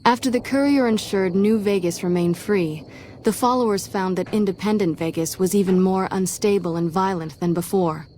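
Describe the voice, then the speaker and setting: A woman playing a serious, measured narrator in a video game epilogue. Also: mid-range voice, a little mellow, a little hiss or hum, lightly acted. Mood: sober. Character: sober, mellow female narrator of game epilogue.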